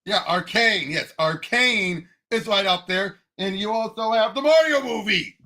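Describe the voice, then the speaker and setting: A man with a high voice that carries a gravelly creak. He co-hosts a casual discussion in an animated, opinionated way, with a sharp edge to his tone and some groping for words. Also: unhurried. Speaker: podcast co-host. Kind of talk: casual pop culture discussion.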